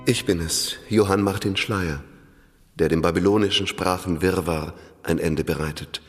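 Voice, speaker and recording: An actor playing a zealous priest who invented a world language, speaking earnestly and pedantically in a radio play. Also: male voice, low voice, steady tone, moderate pace, long reverb tail, soft background music, natural voice. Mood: fervent.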